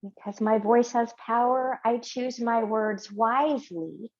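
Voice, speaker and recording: A middle-aged woman leading a yin yoga class, giving slow, gentle cues and affirmations while lying on a mat in a small home studio. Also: a little high, highs cut off. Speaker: yoga teacher. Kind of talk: guided yoga class instruction.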